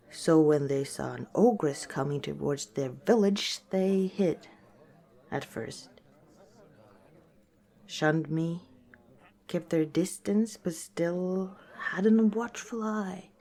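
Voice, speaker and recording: Female orc tavern owner, played by a woman: lower register, slow delivery, gentle and hesitant, intimate ASMR storytelling. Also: creaky, full character voice. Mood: rueful.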